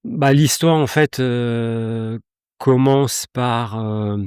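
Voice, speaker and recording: A man's voice: medium pitch, very slow, darkish tone, smooth, no echo, studio quality. A man in his fifties, originally from Iran, interviewed as a company director on a podcast, speaking reflectively with many hesitations and restarts. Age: 50s.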